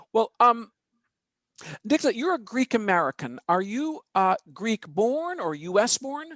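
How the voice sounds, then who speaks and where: A man hosting an interview podcast, chatty and quick to laugh. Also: high voice, sing-song, fairly bright tone, no room sound, clean studio sound. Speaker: podcast host.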